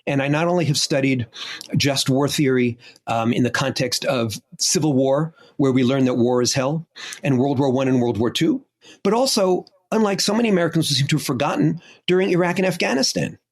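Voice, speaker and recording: A man's voice: medium pitch, a little fast. A middle-aged man, a historian, answering an interview question in an emphatic, impassioned way. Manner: emphatic, impassioned, lists points. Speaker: historian guest.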